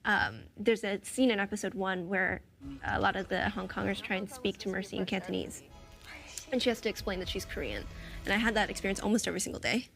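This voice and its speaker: A woman's voice: mid-range voice, quick pace, creaky, fairly bright tone, faint music behind. A young American woman, an actress on a roundtable, talking thoughtfully and with animation. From United States. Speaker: actress guest. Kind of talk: roundtable conversation.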